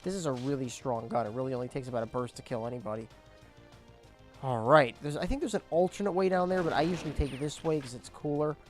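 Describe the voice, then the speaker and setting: Young guy narrating a game playthrough, voice cracks here and there, faint game music behind. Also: fast talker. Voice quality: voice cracks and squeaks at times. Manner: chatty, explains objectives as he plays. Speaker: gameplay commentator. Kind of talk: casual let's play commentary.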